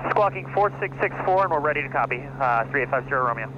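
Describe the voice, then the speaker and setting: An American man speaks as a private pilot at a medium pitch. His voice comes through a dull headset intercom, with clear engine noise behind him. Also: expressive, quick pace. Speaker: private pilot making radio calls. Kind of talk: casual cockpit chat and aviation radio calls. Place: small plane cockpit in flight. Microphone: aviation headset. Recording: dull noisy aircraft intercom, engine hum.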